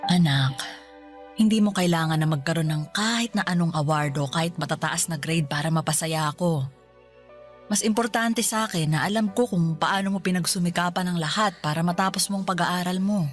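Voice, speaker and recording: Woman playing a tender, lower-voiced devoted mother. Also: brisk, lightly acted. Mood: tender, grateful. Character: devoted, gently insistent middle-aged mother of a graduate. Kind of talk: Tagalog radio drama dialogue. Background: background music.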